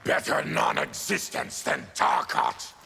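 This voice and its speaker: Very gravelly, bitter mutant warrior. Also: male voice, on the high side, wildly swooping pitch, slow pace, bright, forceful tone, a little hiss or hum, heavily acted. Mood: bitter, angry. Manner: aggrieved, intense. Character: bitter, anguished male diseased mutant warrior. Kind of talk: video game character dialogue.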